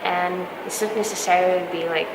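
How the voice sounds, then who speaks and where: A woman's voice: fairly low pitch, steady tone, a little fast. Young woman, Eastern European accent, encouraging casual advice, reverberant hall, noticeable background noise. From Belarus. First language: not English; Polish is second language. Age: young adult. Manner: encouraging, reassuring. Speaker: exchange student giving advice.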